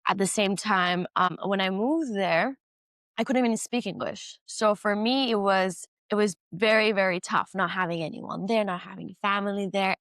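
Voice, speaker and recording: A young woman from Iran speaks as a guest in a relaxed, reflective chat. She is candid and thoughtful, and her talk is full of ums and restarts. The sound is close and dry, with no room echo. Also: mid-range voice. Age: 20s. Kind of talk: casual conversation.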